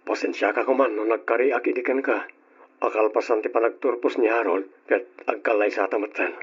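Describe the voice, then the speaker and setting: An actor playing a devoted but hesitant boyfriend, gentle and apologetic, in an old Ilocano radio drama with an Ilocano accent. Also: male voice, lowish voice, steady tone, fairly quick, slightly rough, dull audio. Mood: uneasy, apologetic.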